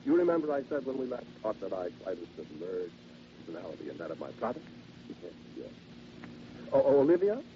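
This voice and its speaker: An actor playing a polite, halting, quietly sinister henpecked salesman in a theatrical vintage radio horror monologue. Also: lowish voice, very animated. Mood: chatty, genial. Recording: noisy, dull vintage radio recording.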